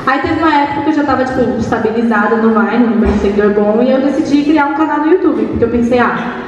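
An eighteen-year-old woman chats in a teasing, slightly shy way. Her voice is fairly high. She is recorded from a distance in an echoey hall, and the sound is a little dull. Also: fast, a little hiss or hum. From São Paulo state, Brazil. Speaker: panel speaker. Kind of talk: casual storytelling on stage. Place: large hall stage. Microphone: handheld mic. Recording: muffled echoey hall from far off.

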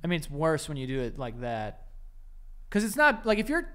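A man reads out facts and cracks jokes on a casual podcast, his voice slightly high and lively. He is loose and offhand. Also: moderate pace, clear, resonant tone. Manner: joking, reading out facts. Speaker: podcast co-host. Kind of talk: casual chat.

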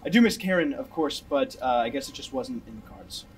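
An actor with an American accent playing a sardonic, teasing brother in a naturalistic drama. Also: male voice, mid-range voice, animated, a little fast, slight background noise, close to an everyday voice. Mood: subdued, concerned. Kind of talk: naturalistic film dialogue.

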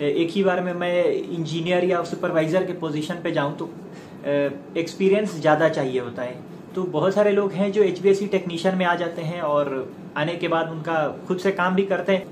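A man in his thirties speaks in a slightly high voice, explaining things informally as an online presenter. His phrasing is halting, with many filler pauses. The lapel-mic recording is echoey, with clear background noise. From India. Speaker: YouTube presenter giving career advice. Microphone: clip-on lapel mic.

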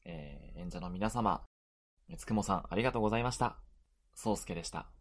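Deep-voiced man with very lively intonation. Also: fairly quick. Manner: polite, appreciative. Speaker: amateur voice actor and audio editor. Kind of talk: informal cast comment.

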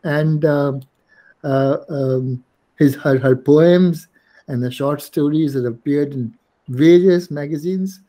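An older man hosting an online poetry event, introducing a guest in a halting way with many pauses and restarts, over a video call. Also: on the high side, mellow. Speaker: host introducing a guest poet. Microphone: video call, laptop camera.

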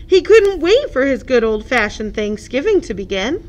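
A woman's voice: high voice, big pitch swings, moderate pace, lo-fi sound. A woman narrating a children's picture book expressively, as a librarian at story time.

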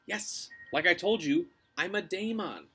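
A brave young girl from a storybook, voiced by a man, pitched up and a little husky. She is coaxing, earnest and deferential, always thinking quickly. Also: a bit bright, heavily acted. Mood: assured.

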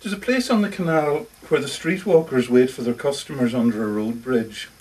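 A man's voice: mid-range voice, normal speed, darkish tone, distant mic, close to an everyday voice, low-quality recording. A man reading a short story monologue as a lonely, socially awkward older lodger, confiding, hesitant and defensive, correcting himself as he goes. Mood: rejected, wistful. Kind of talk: first-person short story monologue read aloud. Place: live reading in a bookshop.

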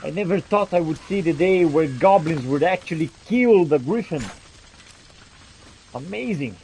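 Animated, high-pitched man playing a game. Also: unhurried, slight background noise, poor audio. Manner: theatrical, exclamatory, talks to game characters. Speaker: gamer playing in character. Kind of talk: roleplay gameplay commentary. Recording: game sounds behind the voice.

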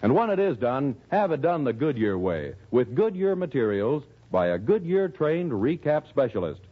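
Tinny vintage American radio adman, animated delivery. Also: mid-range voice, normal speed. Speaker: radio announcer. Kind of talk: 1940s radio commercial read, scripted. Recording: tinny, narrow-band 1940s radio recording.

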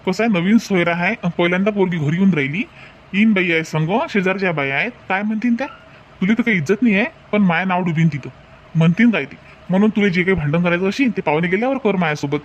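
A man's voice: high voice, fast, lightly acted. A man playing a hospitable, firm village uncle in a cartoon comedy, insistent and matter-of-fact, with a Varhadi Marathi accent. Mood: stern warning. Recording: dull lo-fi sound, faint noise.

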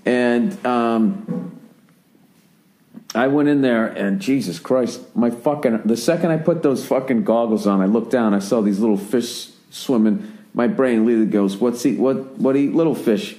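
A man's voice: medium pitch, unhurried, dark tone. A man in his forties with an American accent, ranting and telling stories as a podcast host in casual chat. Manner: rambling, profane, ranting storyteller. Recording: roomy, echoey recording.

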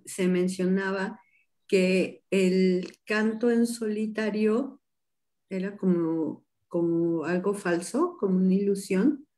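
A woman on a video call asks her teacher about something he said, speaking slowly and pausing often to find her words. Her voice has a medium pitch and comes through a laptop microphone. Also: dark tone. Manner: hesitant, searching for words. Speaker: class participant. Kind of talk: asking a question in a class. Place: home room, video call. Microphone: laptop webcam mic on a video call.

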